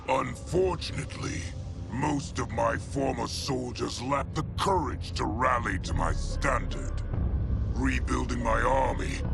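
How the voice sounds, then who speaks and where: An actor playing a proud, power-hungry warlord general in a game cutscene, speaking imperiously and emphatically with a gravelly voice. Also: male voice, medium pitch. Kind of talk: dramatic scripted cutscene narration. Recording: loud music behind voice.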